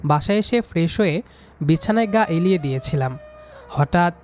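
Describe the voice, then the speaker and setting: A man's voice: a little high, animated, normal speed, darkish tone, slight background noise. A young man reading a scripted first-person story, acting out his own lines of dialogue. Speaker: story narrator playing the student hero. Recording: music under the voice. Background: background music.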